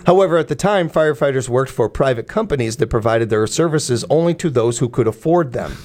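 A man's voice: mid-range voice, expressive, brisk, darkish tone. A man hosting a radio morning show in a studio, ranting with passion and tripping over his words with fillers and restarts. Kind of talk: opinionated radio talk.

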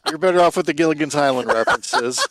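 A middle-aged or older man co-hosting a radio show in casual banter, with a halting, wry way of talking. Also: on the high side, very noisy.

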